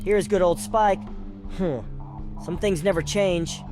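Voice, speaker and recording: A man's voice: high-pitched, fairly even intonation, takes it slowly. A man playing a loyal, chipper young delivery worker in a visual novel game, with an eager, deferential manner. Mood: teasing, friendly. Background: loud music.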